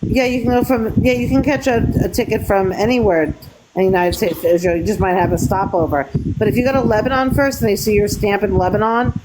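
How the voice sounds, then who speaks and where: An insistent woman hosting a live debate, pressing her points again and again and cutting in on her guest. Her voice sits at an ordinary pitch for a woman. Loud hiss and noise run under the call audio. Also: steady tone, fast talker. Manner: emphatic, talks over the guest, repeats points. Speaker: host of a live debate stream. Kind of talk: casual debate chat.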